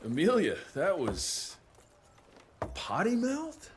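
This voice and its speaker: An actor playing a terse, wry, confident action hero in a video game cutscene. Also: male voice, sits high, wildly swooping pitch, slow, a little hiss or hum, exaggerated voice. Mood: subdued, wry. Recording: loud music over the voice.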